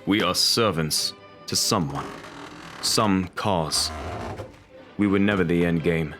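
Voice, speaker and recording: Ominous male monster villain, radio drama: deep, unhurried, cold, menacing. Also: bright, forceful tone, faint music behind, heavily acted. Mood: cold menace. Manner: slow, menacing, pronouncing.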